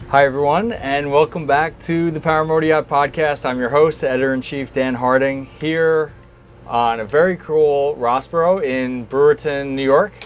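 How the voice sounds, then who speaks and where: A man hosting a podcast interview aboard a small boat, speaking casually and often repeating words as he goes. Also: mid-range voice. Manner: friendly, halting, repeats words. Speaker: podcast host.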